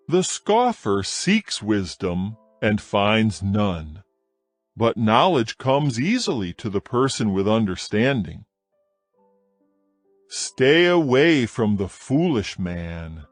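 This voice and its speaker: A man reading scripture in a slow, measured, expressive way. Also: medium pitch, dry recording. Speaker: scripture reader.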